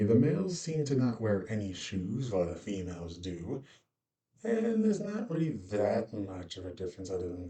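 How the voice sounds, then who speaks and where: A man's voice: on the low side, mellow, distant mic, close to an everyday voice. A man voicing a cosmic monster beast who hosts a casual, rambling narrated guide to fantasy creatures, with offhand asides. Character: cosmic monster beast presenting its world's species, conversational. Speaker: host introducing fantasy species.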